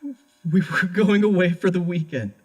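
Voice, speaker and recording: An actor playing a distraught young husband under interrogation in an audio horror drama, halting, stammering and pleading. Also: male voice, sits high, husky. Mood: guarded, evasive.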